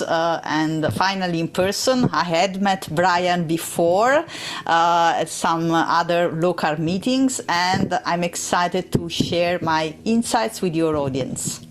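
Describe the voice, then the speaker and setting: Italian-accented woman, podcast guest, measured and unhurried, slightly low register. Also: slight background noise. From Italy. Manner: measured and thoughtful, with frequent hesitations. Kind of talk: interview answers.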